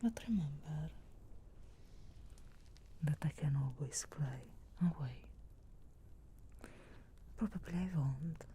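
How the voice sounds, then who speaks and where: A woman performs a teasing, sharp-tongued young witch in a fantasy ASMR roleplay. She whispers low and mellow, close to the microphone, drawing each phrase out very slowly with an intimate, measured delivery. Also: a little hiss or hum. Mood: wry, teasing. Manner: slow, intimate, measured delivery with long pauses.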